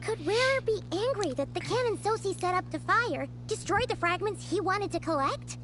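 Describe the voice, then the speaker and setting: A woman voicing a small, chatty, childlike fairy companion in a video game, with a squeaky, chirpy delivery. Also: animated, moderate pace, background noise. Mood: puzzled.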